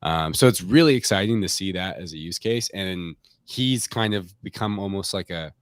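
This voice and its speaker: A man's voice: low voice, normal speed, clear, resonant tone, no room sound, clean studio sound. A man talking casually as a company guest in an interview, informal and peppering his explanations with hedging filler phrases. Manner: informal, hedging with frequent "like" and "kind of". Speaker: tech company representative, podcast guest. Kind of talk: casual product talk in an interview. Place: remote recording on a live stream call.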